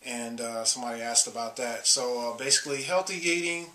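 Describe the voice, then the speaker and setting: A man's voice: medium pitch, fairly even intonation, sharp tone, echoey room. A man in his late thirties, a bodybuilder, talking casually to his viewers with lots of "uh" and restarts. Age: 30s. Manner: halting, many fillers and restarts. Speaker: bodybuilder vlogger. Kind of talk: casual talk to camera.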